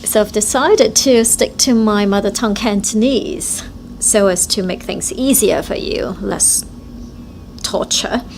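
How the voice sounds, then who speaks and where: Female simultaneous interpreter, slow and measured, speaking in short chunks. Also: medium pitch, vocal fry, a little mellow, slight background noise. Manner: halting, short phrase-by-phrase delivery. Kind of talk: live interpretation of speeches.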